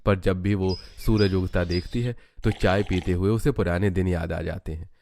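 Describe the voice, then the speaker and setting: Male narrator for scripted animated tales, lower register, slight background noise. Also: normal speed, creaky. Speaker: story narrator. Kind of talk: scripted cartoon story narration.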